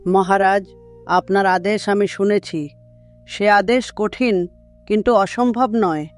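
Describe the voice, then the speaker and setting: An old woman of a cartoon fairy tale, played by a man, with a high voice. She is determined and assured yet respectful, and music plays softly behind her. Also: steady tone, slow. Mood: confident, solemn. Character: confident, determined old woman before a king.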